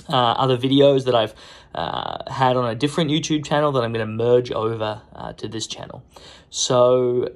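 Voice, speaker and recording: A man in his thirties, a watchmaker, chatting casually through a tour of his small workshop with lots of "uh" and "um", recorded on a phone held close. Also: mid-range voice. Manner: relaxed, rambling with many fillers. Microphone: phone camera held close.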